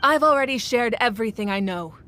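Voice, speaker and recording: A composed, commanding female ruler in a video game. Her somewhat high voice is formal and measured, full of authority. A little noise sits in the recording. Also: lively intonation, bright, forceful tone.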